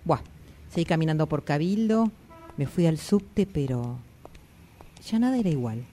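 A woman's voice: low-pitched, very animated, moderate pace, dark tone. A woman acting an anxious, introspective character in a radio drama monologue, confiding and dramatic, with a Buenos Aires accent. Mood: reflective. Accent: Argentine, Buenos Aires. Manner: confiding, dramatic, reflective with colloquial swearing. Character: anxious, introspective woman haunted by always running late.

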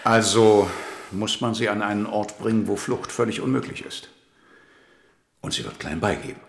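An actor playing a scheming court minister in a radio drama, urgent and deferential. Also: male voice, lowish voice, moderate pace, vocal fry. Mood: cold. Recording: roomy reverb.

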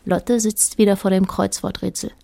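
A woman narrating a radio play as a detached observer, in a measured, matter-of-fact way. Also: medium pitch, level tone, vocal fry, background noise. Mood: detached, observant. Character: detached female narrator observing a married couple.

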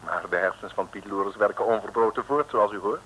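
A man's voice: fairly low pitch, fairly quick. A man giving mock-solemn, droll scripted narration for a 1960s radio serial. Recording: noisy old radio recording.

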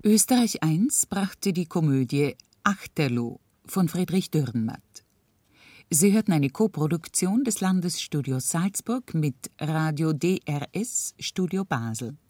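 A woman's voice: fairly low pitch, a little mellow, no echo. A woman radio announcer reading scripted broadcast credits in a formal, orderly way. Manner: formal, clearly separated names.

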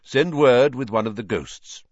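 A grave old wizard headmaster, voiced by a male narrator, giving orders in a measured, courteous way, lifted and firm. The sound is dry and a little dull. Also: mid-range voice, no room sound, pitched above the performer's own voice, close to an everyday voice.

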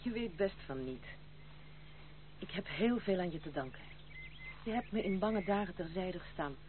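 An actress as a wilful young woman in a period radio play. She is proud, firm and guarded. The recording is thin and telephone-like, with clear hiss. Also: fairly low pitch, animated, normal speed, natural voice. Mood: wistful, startled. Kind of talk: period radio drama acting. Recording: muffled, hissy old radio play.

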